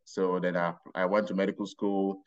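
Brief: middle-aged man, Nigerian accent, lowish voice, muffled lo-fi audio, storytelling podcast guest. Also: level tone. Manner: unhurried, rambling with restarts and laughs. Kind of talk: casual interview storytelling.

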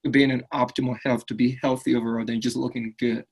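A man's voice: medium pitch, little pitch movement, quick pace, creaky, breathy edge. A man from Liberia, speaking earnestly and upbeat as an interview guest, often tagging lines with "right?". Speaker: fitness business owner, guest. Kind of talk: casual interview conversation.

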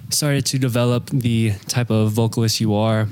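A man interviewing a singer, asking long halting questions and murmuring agreement. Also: medium pitch, fairly even intonation, darkish tone, clear, resonant tone, no echo. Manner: halting questions, many backchannels. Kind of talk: casual conversational interview.

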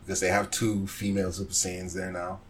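A man's voice: low-pitched, monotone, a little fast, a crowd in the background. A man in a casual podcast chat about games, thinking aloud hesitantly as he talks. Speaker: podcast panelist.

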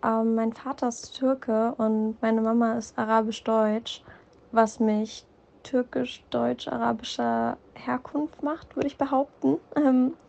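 Gravelly woman, dull lo-fi recording. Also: on the high side, fairly even intonation, takes it slowly, a little mellow. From Berlin, Germany. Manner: reflective, hesitant with fillers. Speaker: interviewee. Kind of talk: personal testimony.

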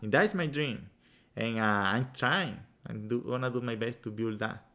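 A man from Venezuela, speaking English with a Venezuelan Spanish accent, earnestly explaining his ideas in halting English with many restarts, chatting as a podcast guest. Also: mid-range voice, lively intonation, a bit bright. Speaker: yoga teacher, podcast guest. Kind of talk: casual podcast chat.

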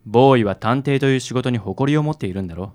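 A man playing a kindly, self-styled handsome man with a smooth, affected and reassuring way of talking in a radio drama. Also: medium pitch, fast, a little mellow, close to an everyday voice.

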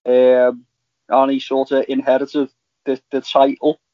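A man in casual, halting podcast chat about TV, rambling as a co-host. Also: medium pitch, completely flat delivery, no room sound. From Liverpool, England. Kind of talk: casual chat reviewing TV shows. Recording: slightly dull, lo-fi audio.

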